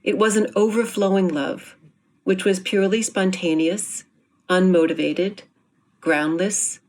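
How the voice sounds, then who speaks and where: A woman reading a scripted explanatory introduction in formal, measured phrases. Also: medium pitch, fairly even intonation, a little mellow. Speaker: performer presenting an introduction.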